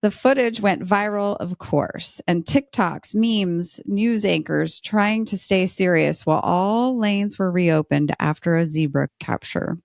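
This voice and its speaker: A woman's voice: lowish voice, measured pace, gravelly, few pauses, dry recording, studio quality. An American woman speaking in a playful, dramatic way, as a podcast guest telling stories and chatting casually. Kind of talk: lively storytelling and casual chat.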